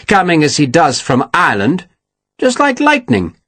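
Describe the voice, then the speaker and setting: A man's voice: fairly high pitch, wildly swooping pitch, normal speed, fairly bright tone, breathy edge, no echo, pitched above the performer's own voice, heavily acted. A man voicing a genteel, evasive army colonel with a breezy, placating manner.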